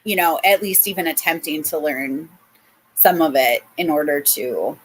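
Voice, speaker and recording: A woman with a Midwestern American accent who teaches English, chatting casually on a livestream, giggly and animated. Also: sits low, slight background noise, low-quality recording. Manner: giggly, animated, quick to laugh.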